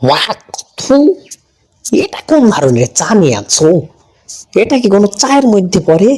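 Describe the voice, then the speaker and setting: A man's voice: high voice, sing-song, moderate pace, slightly rough, exaggerated voice. A man voicing a strict, bossy old father-in-law in a cartoon, with a harsh, scolding manner. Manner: harsh, bossy, shouting rebukes. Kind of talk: cartoon voice acting.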